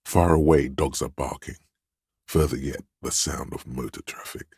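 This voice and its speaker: A man voicing a domineering inner voice in a video game, commanding, measured, grave and pompous in delivery. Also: fairly even intonation, darkish tone, husky, no room sound, clean studio sound, full character voice. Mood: grave, narrating. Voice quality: very deep, resonant. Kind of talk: scripted game narration, inner-voice commentary.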